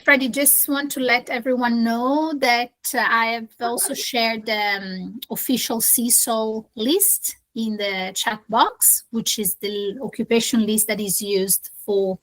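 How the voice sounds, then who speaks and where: A woman's voice: fairly high pitch, fairly even intonation, measured pace, hardly any pauses, fairly bright tone, rough recording. Woman co-hosting a webinar. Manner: informative, adds announcements with hesitations. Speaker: webinar co-host. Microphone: video call.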